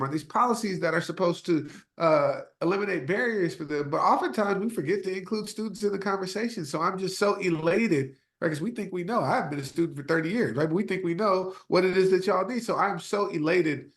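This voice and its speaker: Homem animado em videochamada, fala com entusiasmo. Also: male voice, sits high, a little fast, a bit bright. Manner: earnest, repeats words and restarts, says y'all. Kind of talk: encouraging remarks on a video call.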